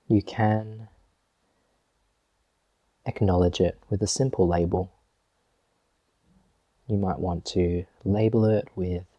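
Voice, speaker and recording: A man with a deep, dark-toned voice guides a meditation. He speaks very slowly and gently, leaving long pauses between short phrases. His delivery is measured, like a teacher leading a practice. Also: no room sound.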